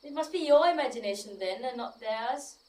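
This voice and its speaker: Scornful noble lady female actor, high voice, dry Shakespearean remarks, distant from mic with crickets. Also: animated, a little fast, a crowd in the background, close to an everyday voice. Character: disdainful noblewoman watching a silly play. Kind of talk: Shakespearean stage acting.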